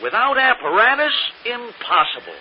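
An actor playing a pompous, theatrical old-time vaudeville performer in a 1930s radio drama. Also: male voice, extremely high pitch, sing-song, moderate pace. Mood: indignant. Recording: muffled, noisy 1930s radio recording.

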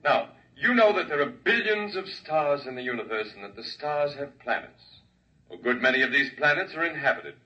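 Stately alien speaker, formal and bright-toned, muffled old radio sound from off-mic. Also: male voice, mid-range voice, expressive. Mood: solemn. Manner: formal, measured oratory. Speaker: alien speaker addressing a crowd. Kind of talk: 1950s radio drama acting. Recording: muffled 1950s radio broadcast, distant mic.

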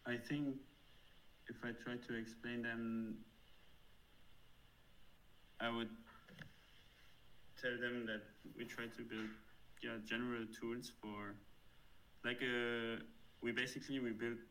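A man's voice: mid-range voice, flat delivery, slow, long pauses, pushed and bright, a little hiss or hum, rough recording. A man in his thirties with a German accent, answering interview questions thoughtfully, with frequent fillers and restarts. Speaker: software developer guest.

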